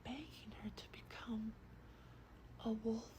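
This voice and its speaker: Slow, whispering woman in soft-spoken ASMR. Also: on the high side, steady tone. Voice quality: breathy, whispered, unvoiced. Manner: slow, hushed, gentle. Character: gentle female vampire host reading a bedtime book. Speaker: ASMR host reading a story aloud. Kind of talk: soft-spoken ASMR roleplay and book reading. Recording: faint background noise.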